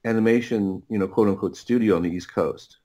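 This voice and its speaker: A middle-aged man with a fairly low voice chats as an interview guest. He tells his story in a casual, rambling way, doubling back on words while he gathers his thoughts. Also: mellow. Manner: halting, rambling, repeats words as he thinks. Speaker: podcast guest, audio producer.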